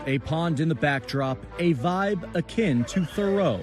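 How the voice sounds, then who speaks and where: Animated, higher-pitched male news reporter. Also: a little slow. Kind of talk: scripted feature narration. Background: music bed.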